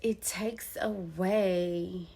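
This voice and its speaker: A woman's voice: fairly low pitch, fairly even intonation, very slow, gravelly, warm tone, slightly rough. A young woman telling her own story of betrayal in an emphatic, casual way with plenty of slang. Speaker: poet and storyteller. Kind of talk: candid personal storytelling.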